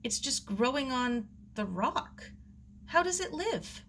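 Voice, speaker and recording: A woman's voice: high voice, big pitch swings, takes it slowly, fairly bright tone, slight background noise, pitched above the performer's own voice, full character voice. A woman voicing a curious, polite young girl in a storybook, earnest and full of wonder. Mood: curious, puzzled.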